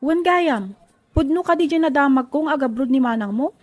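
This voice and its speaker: A woman's voice: high voice, animated, normal speed, darkish tone, dry recording, voice pushed up. A woman playing an inquisitive, sympathetic friend in an Ilocano radio drama, with an Ilocano accent. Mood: curious, sympathetic.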